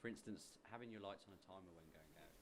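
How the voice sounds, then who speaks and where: An actor playing a courteous, officious, rambling police officer in a horror audio drama. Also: male voice, low-pitched, quick pace, natural voice. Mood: courteous, procedural. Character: polite, rambling male police officer on rounds. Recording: faint background noise.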